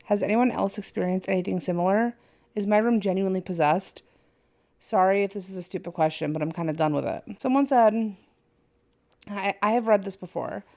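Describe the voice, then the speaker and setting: A woman's voice: medium pitch, a little fast, vocal fry, dark tone. A woman co-hosting a casual podcast, reading an online post aloud with little asides and stumbles. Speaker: podcast co-host.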